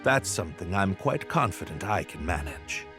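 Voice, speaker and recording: A man's voice: deep voice, sing-song, normal speed, over music. A man playing a self-assured, shrewd royal strategist in a video game, speaking in formal, eloquent phrases. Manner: formal, eloquent, smooth-talking. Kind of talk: dramatic game cutscene acting.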